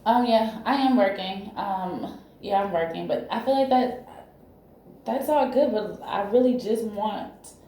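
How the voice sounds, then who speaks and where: A woman in her thirties speaks haltingly and anxiously, as if opening up to a counselor. Her voice is mellow and dark. The recording is very echoey, with faint background noise. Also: mid-range voice. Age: 30s. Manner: halting, anxious, searching for words. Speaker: counseling client in a role-play. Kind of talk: emotional personal disclosure.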